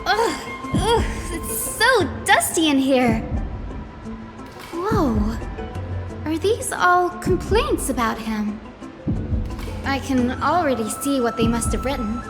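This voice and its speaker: Young female fantasy adventurer, high register, curious and playful, expressive game dialogue over music. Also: slow pace, long pauses, full character voice. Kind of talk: video game character dialogue and storytelling.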